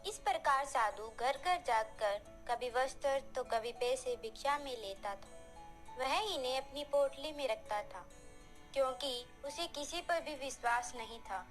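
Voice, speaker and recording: A woman's voice: high-pitched, a little slow, soft background music. A woman reading scripted narration for a village folk tale as the storyteller.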